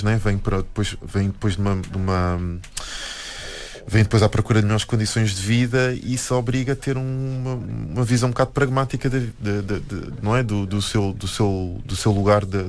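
A man's voice: on the low side, a little mellow. A man with a European Portuguese accent, a guest in a studio interview, talking thoughtfully with many restarts and tag questions. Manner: hesitant, many restarts and "não é?" tags. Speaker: guest, musician and anthropologist. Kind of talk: reflective interview talk. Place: radio studio.

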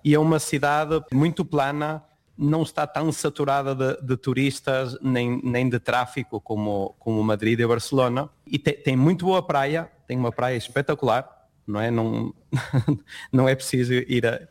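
A man from Portugal, a university lecturer answering interview questions in a relaxed, conversational way with frequent restarts. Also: medium pitch, moderate pace. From northern Portugal. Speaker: guest, university lecturer living abroad.

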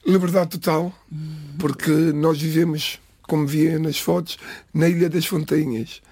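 A man speaks in an earnest, halting way and keeps restarting his sentences. His voice sits a little high, with a gravelly, husky grain. The recording is dry and close. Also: fairly even intonation, darkish tone, dry recording. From Portugal. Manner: halting, self-correcting, earnest. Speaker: interviewee. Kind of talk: reflective interview.

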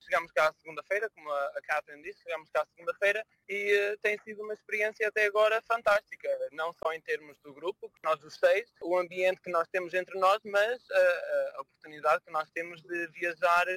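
A man's voice: high voice, a little fast, hardly any pauses, sharp tone, dry recording, low-quality recording. A man in his twenties from New Jersey, answering interview questions with frequent fillers and restarts. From New Jersey, United States. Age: 20s. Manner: hesitant, with fillers and repeated words. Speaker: interview guest. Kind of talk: radio or TV interview.

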